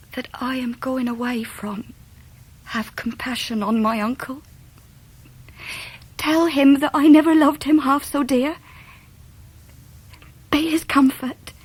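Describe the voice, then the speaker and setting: Breathy, sorrowful young runaway. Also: female voice, on the high side, full character voice, rough recording. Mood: sorrowful, hushed. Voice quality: breathy, half-whispered. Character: remorseful young runaway woman, tender and tearful. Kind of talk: period radio drama letter monologue.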